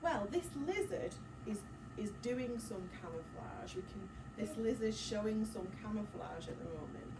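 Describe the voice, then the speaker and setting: A woman's voice: medium pitch, animated, moderate pace, gravelly, few pauses. A woman primary school teacher leading an interactive story-time lesson, asking questions and explaining things simply to young children. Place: echoey classroom with children. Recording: noisy echoey room, distant mic.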